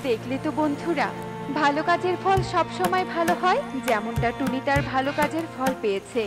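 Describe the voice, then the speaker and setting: A woman narrates a scripted story for children. Her voice is very high-pitched. Music plays loudly behind her throughout. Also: animated, brisk.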